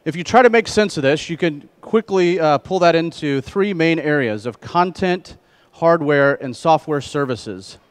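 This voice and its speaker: A middle-aged man presenting a conference keynote on stage through a headset mic, speaking in measured phrases. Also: fairly high pitch, lively intonation, clean tone. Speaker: keynote speaker, trade association president.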